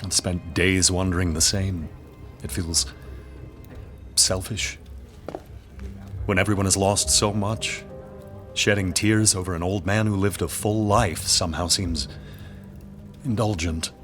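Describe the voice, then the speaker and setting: Give a brooding young aristocrat, guarded and melancholic. His low voice is grave and formal in short, terse lines, with faint gothic drama music beneath. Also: steady tone, measured pace, long pauses, a bit bright. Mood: mournful. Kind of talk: gothic audio drama acting.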